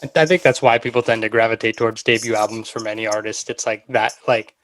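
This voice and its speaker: A man's voice: fairly low pitch, steady tone, fast talker, a bit bright, no room sound. A man interviewing casually as a podcast host, with informal, loosely phrased talk.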